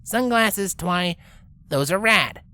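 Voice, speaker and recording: A woman's voice: medium pitch, expressive, slow pace, bright, forceful tone, dry recording. A woman voicing a brash, sulky young female cartoon pegasus who grumbles in cocky slang. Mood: sulky, defensive. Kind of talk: character acting in a fan-fiction story reading.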